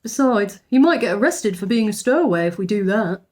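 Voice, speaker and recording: A woman's voice: mid-range voice, animated, brisk, darkish tone, lightly acted. A woman voicing an insistent young son in a children's storybook. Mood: protesting. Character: protesting young boy, son of the family.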